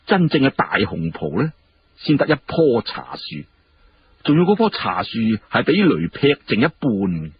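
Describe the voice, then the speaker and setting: A genial, modest young minister from a historical storybook, played by a male narrator. He explains politely and knowingly in a very husky voice with lively rises and falls. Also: medium pitch, a little slow, dull audio, exaggerated voice. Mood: amused, explaining.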